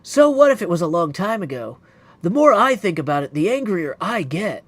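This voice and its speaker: A man's voice: sits high, very animated, moderate pace. A man voicing an earnest young woman, a caring but forthright witch in a video game, speaking emphatically. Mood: indignant. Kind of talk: video game character acting.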